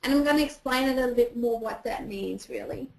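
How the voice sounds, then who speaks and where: A woman's voice: a little high, fairly quick, vocal fry. A woman from Austria with an Austrian German accent, a clinical psychologist explaining patiently in an online presentation, with frequent ums. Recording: echoey room.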